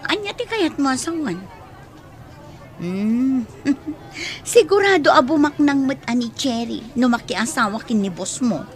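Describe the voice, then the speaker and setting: A woman's voice: sits high, animated, unhurried, a faint crowd. A woman with an Ilocano accent acting a blunt, money-minded servant in a radio comedy drama. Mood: excited, nosy.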